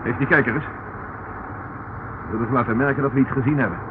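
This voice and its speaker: Actor as shrewd police inspector, muffled noisy recording. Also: male voice, mid-range voice, steady tone, fast talker, natural voice. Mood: alert, commanding. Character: shrewd, composed police inspector, man, wryly commanding. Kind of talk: Dutch radio play acting. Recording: muffled, noisy old radio play recording.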